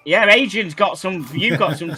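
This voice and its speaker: A man's voice: high-pitched, moderate pace, pushed and bright, breathy edge, soft background music. A man with a Manchester accent hosting a casual livestream chat, teasing his guests and laughing often. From England. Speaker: host.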